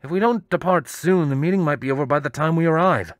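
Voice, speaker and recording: A man's voice: fairly high pitch, quick pace, no room sound, clean studio sound, pitched above the performer's own voice, natural voice. A man voicing a dutiful guard stallion who speaks with plain courtesy.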